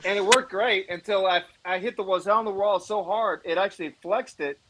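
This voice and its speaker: Man, higher-pitched voice, casual panel talk, rambling story, lots of "uh". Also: normal speed, background noise. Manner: loose storytelling full of "uh" and restarts. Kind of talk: casual chat, telling stories.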